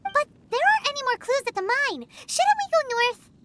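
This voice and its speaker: Squeaky, excitable little fairy sidekick. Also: female voice, moderate pace, a little hiss or hum, exaggerated voice. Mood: eager. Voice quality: squeaky, childlike. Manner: exclamatory, curious, eager. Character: tiny excitable childlike floating fairy sidekick. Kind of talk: video game character acting.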